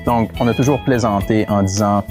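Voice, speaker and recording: A man's voice: on the low side, steady tone, normal speed, lightly acted. A man voicing an affectionate young groom-to-be giving a testimonial in a dubbed reality show. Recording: music playing under the voice.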